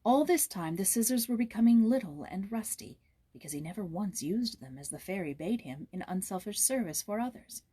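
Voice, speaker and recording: A woman's voice: mid-range voice, lively intonation, fairly quick, mellow, breathy edge, no echo. Woman narrating a fairy tale, very dry sound. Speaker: storybook narrator.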